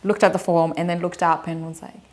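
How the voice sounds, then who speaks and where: Low-voiced young woman telling her story. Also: flat delivery, fairly quick, a little mellow. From Afghanistan. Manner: chatty, hesitant, many ums and likes, laughs lightly. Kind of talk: casual personal storytelling.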